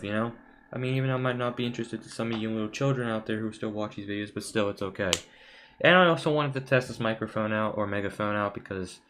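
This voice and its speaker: A man's voice: fairly low pitch, fairly bright tone, a little hiss or hum. A young man with an American accent gives casual, rambling, offhand commentary to his viewers. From United States. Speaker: video creator. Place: room at home.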